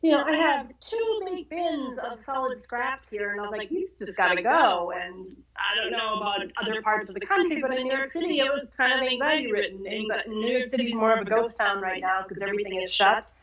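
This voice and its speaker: A middle-aged woman guest, a quilter, chatting casually on a video call from her home studio, a little stuffy and congested, lively and full of quick asides. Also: on the high side. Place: sunny home studio, indoors. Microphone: wireless earbuds on a video call. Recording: dull lo-fi video call audio.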